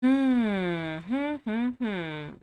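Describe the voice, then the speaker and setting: A woman's voice: medium pitch, lively intonation, extremely slow, clear, resonant tone, no echo, narrow, tinny audio. A woman giving casual commentary as she plays a video game, often thinking aloud absent-mindedly. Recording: faint game music behind. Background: video game music.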